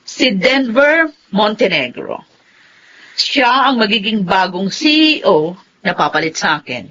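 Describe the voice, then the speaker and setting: A woman's voice: mid-range voice, expressive, unhurried, bright, forceful tone. A woman playing a company chief executive handing over to a successor in a radio drama. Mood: businesslike. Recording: muffled, band-limited audio.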